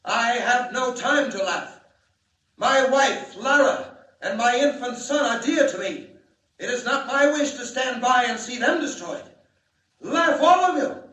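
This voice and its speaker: A man's voice: very high-pitched, expressive, unhurried, bright, forceful tone, heavily acted. A man acting a grave, urgent alien scientist in a 1940s radio drama, solemn and declamatory. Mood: bitter, pleading. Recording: echoey, distant 1940 radio broadcast.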